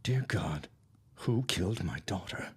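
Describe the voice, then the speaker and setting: A grieving father in an audio drama, acted by a man, speaks in a low voice that swings widely up and down. He is racked with guilt and torn by doubt, a man of the early twentieth century. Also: lively intonation, a little slow, slightly rough, full character voice. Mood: shaken, resolving.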